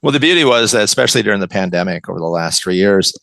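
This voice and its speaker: Older man, American accent, gravelly, lowish pitch, close dry sound, enthusiastic conversational interview guest. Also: lively intonation, racing, fairly bright tone, dry recording. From West Coast of the United States. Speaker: guest, novelist and college teacher.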